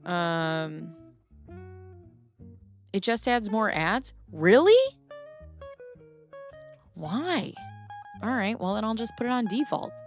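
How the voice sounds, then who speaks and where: A woman's voice: medium pitch, expressive, slow pace, pauses often, faint music behind. A woman hosting a live stream, reading messages aloud and chatting back to her viewers by name.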